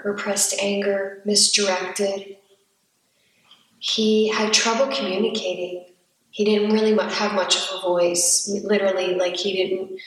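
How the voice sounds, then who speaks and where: Slow, flat-voiced woman in echoey room. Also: mid-range voice, distant mic. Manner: slow, hesitant, many ums. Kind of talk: reflective interview answer.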